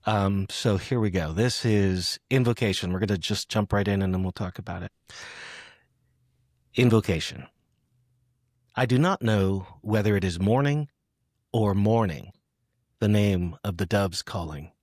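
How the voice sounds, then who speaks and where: A man hosting a poetry podcast, talking and reading in a reflective, halting conversational way. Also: on the low side, darkish tone, dry recording, clean studio sound. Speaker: podcast host. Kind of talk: conversational poetry interview with read-aloud poems.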